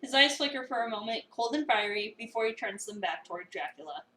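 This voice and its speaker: A woman narrating a visual novel as an expressive first-person dramatic reading. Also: on the high side, brisk, slight background noise. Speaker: narrator, streamer. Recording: roomy, echoey, distant mic.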